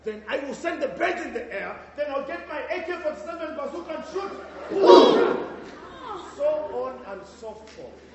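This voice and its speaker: High, lively-voiced tyrant headmistress, played by a man for an amateur stage play, sneering and threatening; recorded from far off in an echoey hall. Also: brisk, hardly any pauses, muffled recording, slight background noise, exaggerated voice. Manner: booming threats, sneering insults. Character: tyrannical, bullying school headmistress.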